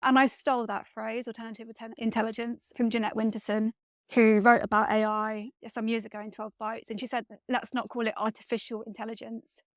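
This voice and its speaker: A woman speaking as a guest author in a reflective interview, thoughtful and often pausing to search for her words. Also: fairly high pitch, little pitch movement, no room sound, clean studio sound.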